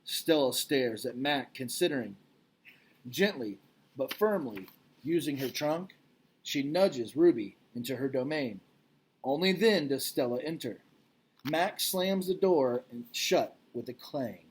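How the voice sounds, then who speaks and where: A man's voice: medium pitch, animated, measured pace, breathy edge. A man reading a children's storybook aloud as narrator. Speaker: read-aloud reader.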